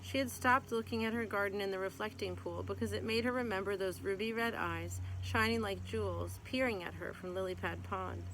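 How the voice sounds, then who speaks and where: A woman reads a picture book aloud in the manner of a teacher sharing a story with a class. Her pitch sits in the middle range for a woman. There is faint but noticeable noise behind her voice. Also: fairly quick, hardly any pauses, fairly bright tone, poor audio. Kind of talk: picture book read-aloud, scripted narration. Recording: clear background noise.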